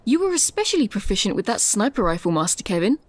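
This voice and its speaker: A woman's voice: mid-range voice, very animated, quick pace. A woman voicing a polite robot servant in an audio drama, with prim, formal, over-precise phrasing. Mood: polite, chipper.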